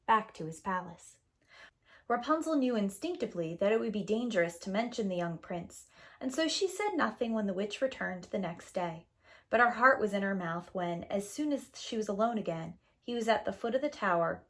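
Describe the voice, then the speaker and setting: A woman with a medium-pitched voice narrates a storybook for young children. Her reading is scripted and expressive, with dramatic phrasing suited to a preschool story time.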